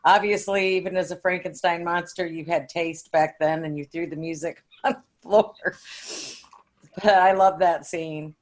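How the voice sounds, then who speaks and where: Effusive older woman, lowish voice. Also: fairly even intonation, moderate pace. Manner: effusive, admiring. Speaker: podcast co-host. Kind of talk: casual conversation.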